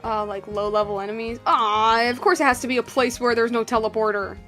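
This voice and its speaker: A young woman streams a game in a fairly high voice, with soft music behind her. She comments casually and at length, rambling and getting excited. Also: fairly quick. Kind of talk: casual live gameplay commentary.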